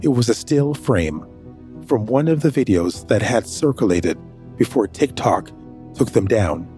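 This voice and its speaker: A man's voice: fairly low pitch, animated, a little slow, warm tone, soft background music. An actor narrating as a nervous man hiding his sick brother, hesitant and anxious in his dialogue. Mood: steady narration. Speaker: first-person narrator. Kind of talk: audio drama narration.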